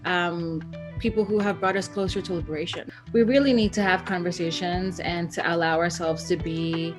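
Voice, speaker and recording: Earnest low-voiced woman from El Salvador. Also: steady tone, normal speed. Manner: earnest, with frequent ums. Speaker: street artist and photographer. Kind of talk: interview talk. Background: guitar music.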